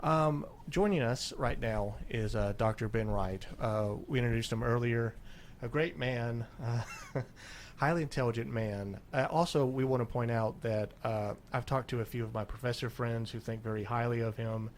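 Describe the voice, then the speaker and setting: A young man hosting a local radio show, introducing his guest with lots of pauses and fillers. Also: medium pitch, steady tone, slight background noise. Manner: hesitant, many fillers. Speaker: radio host. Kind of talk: casual radio chat. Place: radio studio.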